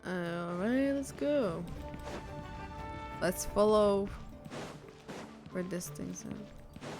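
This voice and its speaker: Unhurried woman streamer chatting casually. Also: medium pitch, lively intonation, darkish tone, soft background music. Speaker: livestreamer. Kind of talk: casual gaming stream chat.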